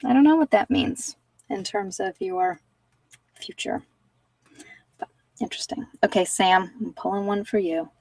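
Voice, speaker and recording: A woman's voice: medium pitch, animated, measured pace, gravelly, pauses often, darkish tone, no echo, far from the mic, rough recording. A woman giving casual live tarot readings to viewers, talking informally and thinking aloud as she goes. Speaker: tarot reader and channel host.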